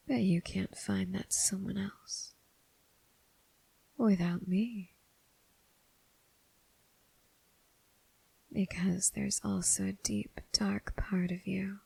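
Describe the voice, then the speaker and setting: A woman voicing a seductive, predatory female demon in a teasing, whispered ASMR roleplay, speaking slowly and intimately to the listener. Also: mid-range voice, dark tone, no echo, full character voice, poor audio. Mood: coaxing, menacing. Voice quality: breathy, mostly whispered. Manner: slow, deliberate, teasing, coaxing.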